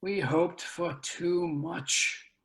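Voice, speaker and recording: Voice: medium pitch, steady tone, drawn-out words, creaky, a bit bright, heavily acted. An actor playing a grave, embittered elder spokesman in a dramatic reading, with declamatory stage delivery. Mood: bitter resignation. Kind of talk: dramatic virtual play reading. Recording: video call reading, uneven sound.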